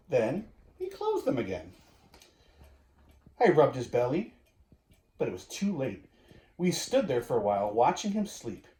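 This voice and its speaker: Animated man reading a storybook, slow-paced. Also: medium pitch. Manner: expressive, drawn-out emphasis, addressing listeners and dog. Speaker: community education director reading to children. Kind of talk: animated children's storybook read-aloud. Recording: roomy echo.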